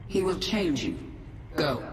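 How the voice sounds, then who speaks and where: A woman's voice: on the low side, lively intonation, slow pace, echoey room, noisy background. A woman playing the commanding queen of an alien swarm in a video game, speaking in a cold, determined manner. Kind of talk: video game cutscene voice acting.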